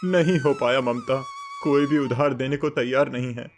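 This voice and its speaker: A man acts a poor farmer in a cartoon morality tale. He sounds earnest, worried and submissive, pleading in a medium-pitched voice with faint music behind. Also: fairly even intonation, normal speed, lightly acted. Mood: anxious, resigned. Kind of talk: Hindi moral cartoon story dubbing.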